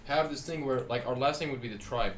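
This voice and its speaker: A young man from Iraq chatting casually as a podcast guest, animated and laughing as he tells stories. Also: medium pitch, fast talker. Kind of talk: casual chat. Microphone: set too far from the mic at times. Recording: roomy, with faint ambient noise.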